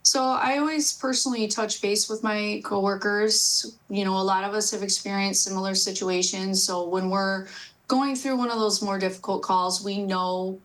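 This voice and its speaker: A woman's voice: medium pitch, fairly even intonation, normal speed, fairly bright tone, low-quality recording. American woman explaining in an interview. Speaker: police dispatcher being interviewed.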